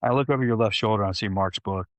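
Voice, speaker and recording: A man's voice: lowish voice, racing, dry recording. A man coaching clients, talking in a loose, rambling way with many fillers. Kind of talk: casual conversation.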